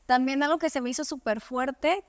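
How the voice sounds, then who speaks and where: A woman's voice: high voice, steady tone, normal speed, no room sound, clean studio sound. A woman interviewing a guest in casual conversation, often backing her up with short "ujú" and "claro". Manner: frequent brief backchannels like "ujú" and "claro".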